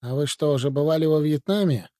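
A polite, earnest young officer, as a man reads him in an audiobook. The voice is slightly high but mellow, caught dry and close with no room sound. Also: fast talker, no echo, close to an everyday voice. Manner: polite, explanatory. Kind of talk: audiobook reading.

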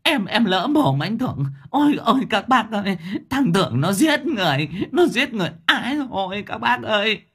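A man voicing a sharp-tongued woman neighbor in a shrieking falsetto. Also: big pitch swings, moderate pace, husky, heavily acted. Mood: wailing. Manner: shrieking, wailing, high falsetto.

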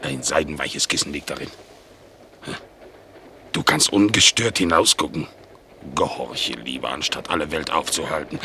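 A man voicing a naive, soft-hearted, greedy pet dog, earnest and pleading, in a radio play. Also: rumbling low, moderate pace, long pauses, slight background noise, full character voice. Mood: coaxing.

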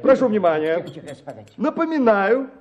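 An actor playing a pompous, self-styled writer holding forth to a bachelors' club, declaiming grandly in a radio play. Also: male voice, high-pitched, very animated, unhurried. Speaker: speaker at a bachelors' club meeting. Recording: slightly dull radio recording, crowd murmur. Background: murmuring male audience.

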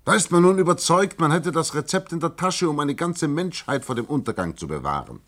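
A man's voice: a little high, animated, fairly quick, fairly bright tone. An actor in a science-fiction radio play voicing a proud, vain alien researcher who poses as a human salesman and speaks with earnest, pompous emphasis. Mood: wry, confiding.

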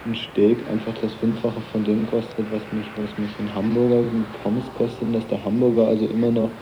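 A man's voice: lowish voice, monotone, fairly quick. A man talking casually and colloquially in an interview, often ending phrases with a questioning tag. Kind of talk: casual street interview talk. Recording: dull noisy 1980s cassette interview.

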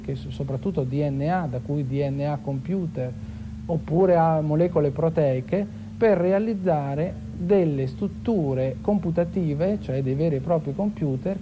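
A man giving an explanatory, lecture-like talk as a science expert, in a measured, didactic way. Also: fairly high pitch. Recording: clear background noise and ambience.

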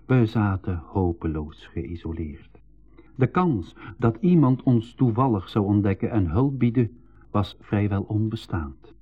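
A man's voice: fairly low pitch, expressive, unhurried, breathy edge, narrow, tinny audio. A man with a Flemish accent playing a gloomy young brother who also narrates the adventure, in a 1980s school radio drama. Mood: sombre narrating. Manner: pessimistic.

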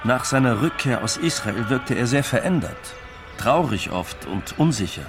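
A man's voice: medium pitch, lively intonation, moderate pace, over music, natural voice. An actor playing an earnest male showbusiness colleague who looks back with concern in a radio play. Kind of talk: radio play documentary monologue.

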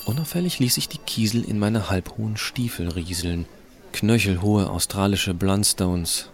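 A man playing a tech-savvy, rebellious high school student who narrates his story in an explanatory, colloquial way. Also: lightly acted. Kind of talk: scripted audio drama narration.

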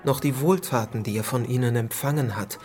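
An actor playing a disillusioned, courteous politician in a radio play, speaking in a measured, formal way. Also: male voice, medium pitch, darkish tone, lightly acted. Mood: bitter, measured. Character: disillusioned, earnest politician, gently courteous lover.

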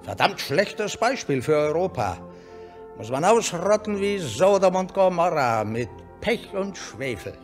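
A man's voice: sits high, very animated, a little slow, music in the background. An actor in a German radio drama playing a bossy, jovially cynical Prussian statesman who talks in brusque, clipped commands and asides. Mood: indignant, blustering. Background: opera performance.

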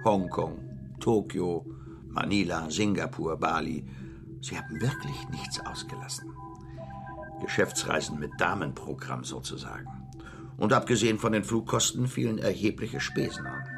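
A cynical middle-aged businessman in a radio drama. His voice is very low and gravelly, with lively swings of intonation. He speaks with measured, condescending insinuation, as someone used to steering others. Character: powerful, cynical, manipulative businessman Max, cool and condescending. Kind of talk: German radio drama acting.

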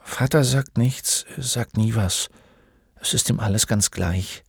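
A man's voice: medium pitch, animated, normal speed, no echo, clean studio sound, voice pushed up, lightly acted. A man playing a shy, bullied schoolboy in a storybook, speaking in a meek, hesitant way. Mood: downcast. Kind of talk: storybook character voice.

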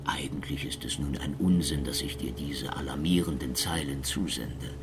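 Very deep-voiced, confiding court officer. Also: male voice, normal speed. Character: male imperial court officer writing confiding letters home to his wife. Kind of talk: radio drama letter narration. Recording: clearly noisy ambience.

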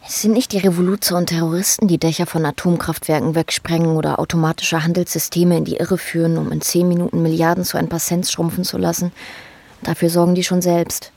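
A woman with a slightly low voice speaks radio drama dialogue. She is a worldly confidante, cool and gently mocking in her manner. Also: little pitch movement, fast, creaky, no room sound.

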